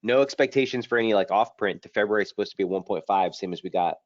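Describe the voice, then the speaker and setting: Slightly hoarse guy running a trading webinar, kinda low voice, muffled dry sound. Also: no room sound. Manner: brisk, casual trader talk with frequent fillers. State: slightly hoarse, under the weather. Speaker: market strategist hosting a trading webinar. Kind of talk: live market analysis webinar. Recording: dull, dry lo-fi stream audio.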